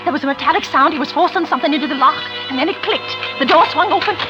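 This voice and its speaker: Actress as a very high-voiced frantic wife. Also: female voice, racing, lightly acted. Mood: tense, haunted narration. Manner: breathless, stammering, melodramatic, hysterical. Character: frantic, guilty wife pleading for her life. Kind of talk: 1940s radio suspense acting. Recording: dull old radio recording, loud music behind.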